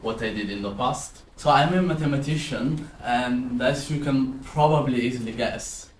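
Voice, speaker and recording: A man's voice: medium pitch, moderate pace. Young Italian man teaching in an echoey room. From southern Italy. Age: 20s. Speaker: maths teacher. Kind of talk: explanatory classroom presentation. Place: indoor classroom with whiteboard and screen. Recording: roomy echo, distant, some background noise.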